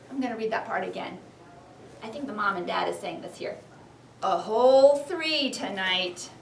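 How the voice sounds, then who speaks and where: High-voiced woman teacher, echoey classroom. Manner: animated, dramatic when reading, clear instructional phrasing. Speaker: first-grade teacher. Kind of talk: expressive storybook read-aloud and classroom instruction. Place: classroom with young children. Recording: echoey classroom, distant, background noise.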